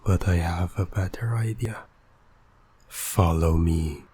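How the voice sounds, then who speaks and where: A man acts out a taunting male hypnotist who is fully in control, in an intimate ASMR roleplay. The voice is low and mellow, often sinking toward a breathy whisper. Every command comes slowly and deliberately, with smug, teasing authority. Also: full character voice. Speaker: roleplay audio performer.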